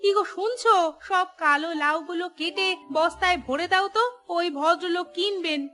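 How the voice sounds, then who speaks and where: High, bright humble village housewife, acted by a woman. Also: moderate pace, faint music behind. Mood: pleased, grateful. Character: polite, humble poor village housewife selling gourds. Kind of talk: cartoon voice acting.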